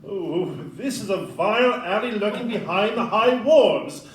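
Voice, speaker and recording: An actor playing a frank, wary Victorian gentleman looking for lodgings in a stage audio play, plain-spoken and proper. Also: sits high, expressive, measured pace. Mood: dubious. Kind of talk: audio-play acting before an audience. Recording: roomy, distant live pickup.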